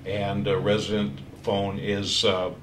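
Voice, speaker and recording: Low-voiced older man, halting technical demo. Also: completely flat delivery, fairly bright tone. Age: 60s. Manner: halting, hesitant delivery with many pauses. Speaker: product trainer. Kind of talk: technical product demonstration. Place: small office meeting room, indoors. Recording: distant mic, echoey room, faint noise.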